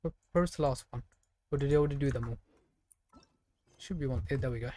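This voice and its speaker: A man's voice: medium pitch, fast talker, warm tone, a little hiss or hum. A man giving casual live gameplay commentary, chatting playfully to the characters and enemies as he plays. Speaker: video game streamer.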